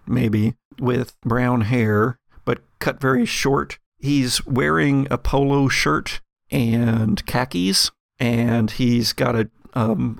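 A man narrating an improvised tabletop roleplaying game as game master, descriptive and halting as he finds his words. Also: mid-range voice, creaky, dry recording, clean studio sound.

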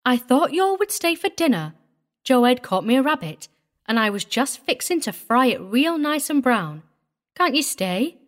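Woman voicing a folksy young Southern mountain wife, lifted pitch. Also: animated, moderate pace, close to an everyday voice. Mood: pleasant, chiding. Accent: rural Southern US. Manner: folksy, earnest, prim. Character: shy, simple young mountain wife, devoted and apologetic.

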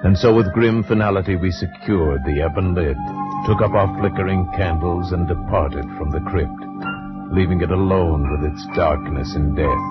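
A low male voice on a dull old radio recording, with loud music behind it. a grave gentleman of gothic horror, measured and theatrical, solemn with dread as a loyal, anxious friend. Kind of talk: 1940s-50s radio horror narration and acting.

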